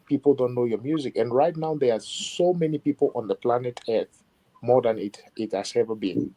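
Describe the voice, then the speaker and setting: A man being interviewed as a musician, talking in a measured, reflective way with many "you know" asides. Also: mid-range voice, mellow, poor audio. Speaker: musician guest. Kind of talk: interview conversation.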